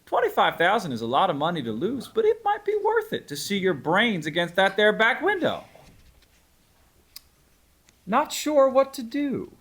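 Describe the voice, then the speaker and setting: A man's voice: high voice, wildly swooping pitch, moderate pace, fairly bright tone, exaggerated voice. A man playing a cocky, menacing bounty hunter with folksy, taunting phrasing in a screenplay table read. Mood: cool menace.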